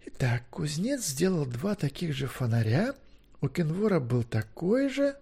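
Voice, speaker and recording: A man voicing an excitable, earnest young woman in a fantasy audiobook, breathless, fretful and exclaiming. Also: very high voice, normal speed, pitched above the performer's own voice. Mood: anxious, muttering.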